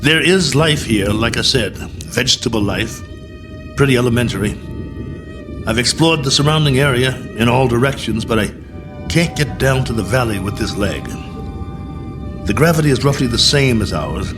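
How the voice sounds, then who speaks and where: An actor playing a stranded, injured astronaut colonel in a radio drama, weary and stubborn, with a clipped, dryly sardonic way of talking. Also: male voice, mid-range voice, animated, normal speed, over music, natural voice. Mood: weary, matter-of-fact.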